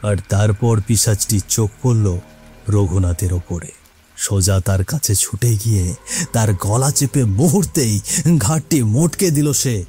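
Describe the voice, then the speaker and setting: A man's voice: fairly low pitch, a little slow, mellow. A man narrating a cartoon moral tale in a dramatic, scripted storytelling style. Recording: faint music behind.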